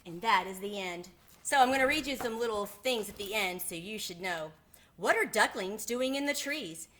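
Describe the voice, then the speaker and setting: A woman's voice: medium pitch, lively intonation, moderate pace, a bit bright, a little hiss or hum. A woman reading a children's book aloud, as a teacher would to a class. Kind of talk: children's storybook read-aloud.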